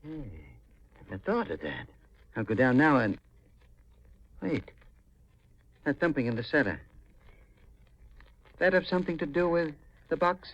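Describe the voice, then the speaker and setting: A man's voice: a little high, big pitch swings, a little slow, pauses often, a bit bright, dull audio, full character voice. An actor playing a greedy, murderous middle-aged uncle in a 1950s radio mystery, calculating and insistent in his dramatic delivery. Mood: suspicious, brusque.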